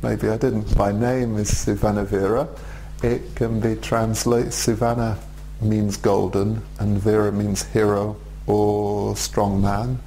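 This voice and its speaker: Man in his forties with an English accent, flat warm voice, measured and hesitant, faint hum behind. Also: lowish voice, poor audio. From Russia, raised in England. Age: 40s. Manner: measured, thoughtful, many hesitations. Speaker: Buddhist teacher. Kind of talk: direct-to-camera video address.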